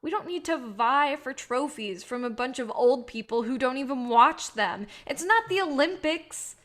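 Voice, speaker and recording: Female, high voice. An actress playing an idealistic, protective filmmaker burdened by guilt; earnest, pleading stage drama. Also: lively intonation, moderate pace, fairly bright tone. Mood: anguished, resolute. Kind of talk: staged reading of a drama play.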